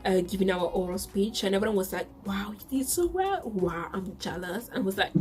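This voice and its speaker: A woman's voice: mid-range voice, animated, moderate pace, a little mellow, faint music behind. Chatty young woman with an Italian accent. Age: 20s. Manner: chatty, repeats herself. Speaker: vlogger. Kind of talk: casual storytelling to camera.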